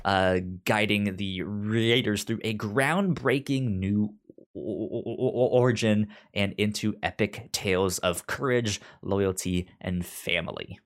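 A man's voice: lowish voice, a little slow. A man with a stutter hosting a podcast, talking conversationally and partly reading out summaries. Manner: stutters, repeating sounds and words. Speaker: podcast host. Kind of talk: conversational pitching of media picks, partly reading synopses.

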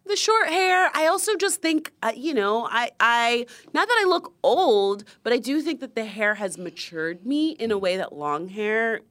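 A woman with a youthful, slightly high voice chats casually as a podcast co-host. She spins animated stories with playful mock indignance. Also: normal speed, hardly any pauses. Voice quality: young-sounding voice. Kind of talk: casual chat.

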